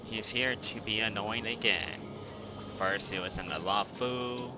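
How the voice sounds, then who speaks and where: A man's voice: fairly low pitch, a little slow. A man casually commentating on a game livestream, with offhand, dismissive asides. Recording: game music behind the voice. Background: game music.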